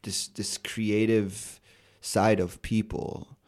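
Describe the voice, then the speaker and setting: A man's voice: on the low side, slow. A man in his twenties, a rapper and poet, talking casually in an interview, full of "like" and repeated "yeah". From United States. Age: 20s. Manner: relaxed, repeats words and fillers, many "like" and "yeah, yeah".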